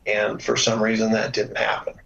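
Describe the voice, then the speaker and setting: A man with a creaky, gravelly voice speaks as an expert guest in a news interview. He explains things in a measured, halting way with many hesitations. The sound is dull and lo-fi. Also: lowish voice, monotone, fairly bright tone. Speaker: former air traffic controller, instructor, guest expert.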